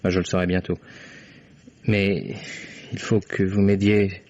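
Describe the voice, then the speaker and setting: An actor playing a courteous, persistent lawyer who questions deliberately, in the style of 1950s French radio drama. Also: male voice, sits low, level tone, darkish tone. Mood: courteous, probing. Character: courteous, persistent male New York lawyer investigating a case. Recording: dull, band-limited vintage radio recording.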